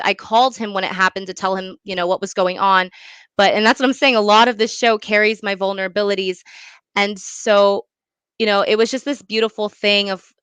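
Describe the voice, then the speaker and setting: A woman's voice: mid-range voice, pushed and bright, clear, resonant tone, no echo. A young woman talking as an interview guest about her creative work. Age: young adult. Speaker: guest, playwright.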